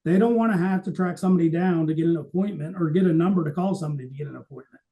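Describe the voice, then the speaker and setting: Male podcast co-host, notably high pitch, dark and relaxed tone, conversational explainer who stresses his points and role-plays quotes. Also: very fast. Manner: explanatory, emphatic, acts out quoted remarks. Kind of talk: conversational industry podcast talk.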